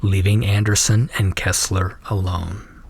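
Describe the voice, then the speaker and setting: A man narrating a suspense drama in a measured, ominous, scripted style. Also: low voice, level tone, gravelly, breathy edge, dry recording.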